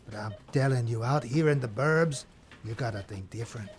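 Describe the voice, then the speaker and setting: A man playing War as a slick, cocky bro in a comedic audio drama, coaching in slangy talk with a gravelly edge. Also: medium pitch, normal speed, close to an everyday voice.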